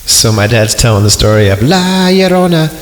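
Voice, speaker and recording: A man's voice: medium pitch, sing-song, moderate pace. A man hosting a podcast, casually reading and riffing on horror stories in an irreverent, joking, rambling way. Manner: irreverent, joking, digressive, easily amused. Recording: echoey long reverb, music behind the voice. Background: music.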